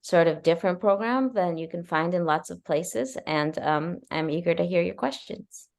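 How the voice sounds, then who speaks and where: A woman's voice: on the low side, animated, moderate pace, creaky. A middle-aged woman from Argentina and the United States, a professor talking informally and keenly about her work on a video call. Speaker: university professor. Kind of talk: conversational self-introduction on a webinar.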